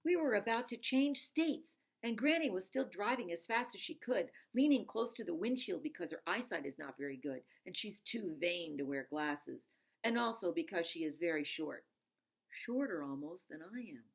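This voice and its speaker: A woman reading a storybook aloud in an expressive, emphatic narrating style. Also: fairly low pitch, moderate pace, vocal fry. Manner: dramatic, emphatic, stops to explain words. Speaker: storybook reader.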